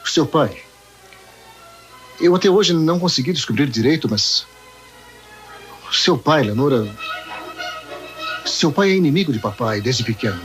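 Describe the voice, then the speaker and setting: An actor playing an earnest, lovestruck young man in an old-style radio soap, with dramatic pauses. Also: medium pitch, animated, a bit bright. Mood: troubled. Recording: radio play with music underneath.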